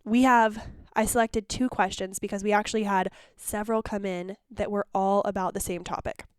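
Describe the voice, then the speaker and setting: A woman's voice: mid-range voice, fairly even intonation, normal speed, smooth, no room sound, clean studio sound. A woman hosting her podcast in a casual, chatty solo monologue, sounding congested and phlegmy. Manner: chatty and candid, with restarts. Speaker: podcast host.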